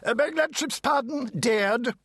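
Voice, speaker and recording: A man's voice: extremely high pitch, a little slow, fairly bright tone, no echo, clean studio sound, voice pushed up, full character voice. A man voicing a flustered, obsequious barrister in an audiobook, clipping and swallowing his words. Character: Mister Tangle, flustered squeaky obsequious barrister. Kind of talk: audiobook character acting.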